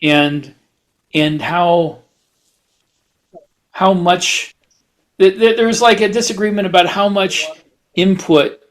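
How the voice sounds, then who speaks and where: Higher-pitched man in halting podcast chat. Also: steady tone. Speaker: podcast co-host and fiction writer.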